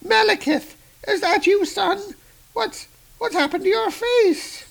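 Man putting on a shrill, gravelly old widow. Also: slow pace, a bit bright, exaggerated voice, poor audio. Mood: frightened, pleading. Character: scheming old woman, poor widow selling hunting dogs.

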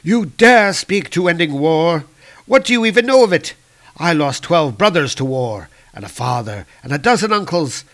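A man voicing a bitter, cruel dark elf lord who speaks in a raised, menacing voice. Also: mid-range voice, expressive, a little slow, husky. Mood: bitter, raging.

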